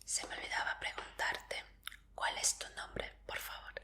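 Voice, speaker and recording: A woman voicing a gentle, attentive medical examiner in a soothing, breathy whispered ASMR roleplay, giving polite instructions. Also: measured pace.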